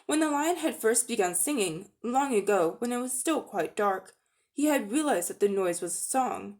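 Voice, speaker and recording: A woman narrating a children's story as a dramatic reading, with expressive storytelling delivery. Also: on the high side, normal speed. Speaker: audiobook-style narrator.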